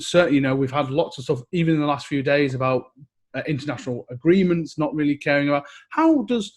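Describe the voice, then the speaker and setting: Higher-voiced man interviewing informally. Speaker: podcast host, interviewer.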